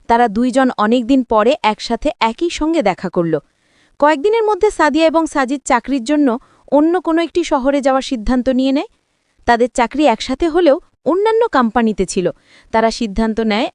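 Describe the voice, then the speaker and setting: A woman narrates a scripted story in the first person, in a measured, dramatic way. Her voice sits a little high, and the sound is dry and close, with hardly any room on it. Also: a little mellow, no room sound.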